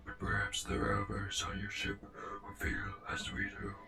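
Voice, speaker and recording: The low, crackling, courteous robot commander who would receive you aboard an enemy warship in a dubbed comic, score playing underneath. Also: male voice, expressive, vocal fry. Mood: calm, persuasive. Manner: measured, formal, deliberate. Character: calm, formal, persuasive male robot faction officer. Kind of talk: comic dub voice acting. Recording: music behind the voice.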